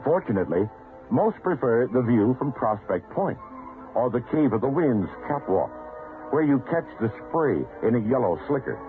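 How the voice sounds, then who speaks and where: American guy announcer, old radio narration, lively wry delivery, muffled sound with music behind. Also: medium pitch, normal speed.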